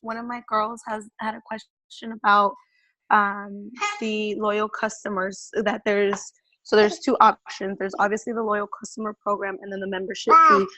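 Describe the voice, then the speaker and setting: A woman's voice: medium pitch, level tone, moderate pace, pushed and bright, dry recording, poor audio. A woman on an online call asking a team question, speaking hesitantly with many restarts and "um"s over a video call.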